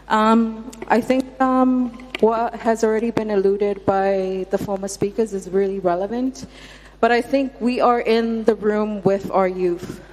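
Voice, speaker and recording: A woman's voice: medium pitch, darkish tone, slight background noise. A woman from Samoa speaking hesitantly from the floor at a conference, with many fillers. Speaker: conference delegate. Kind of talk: audience remarks. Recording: hall reverb.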